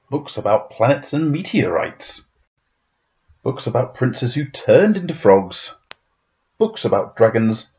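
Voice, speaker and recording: A man reading a children's rhyming picture book aloud in a dramatic, playful, expressive way. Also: mid-range voice, lo-fi sound. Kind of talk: expressive rhyming storybook narration.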